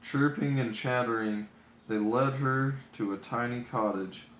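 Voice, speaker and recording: A man reading a children's fairy tale aloud in an expressive storytelling style. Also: medium pitch, a little slow. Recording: echoey room with background noise.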